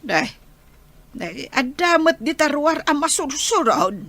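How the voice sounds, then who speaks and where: A woman's voice: high voice, very animated, takes it slowly, fairly bright tone, exaggerated voice. An actress playing an elderly, fretful, inquisitive grandmother with an Ilocano accent in a radio drama. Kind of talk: Filipino radio drama acting.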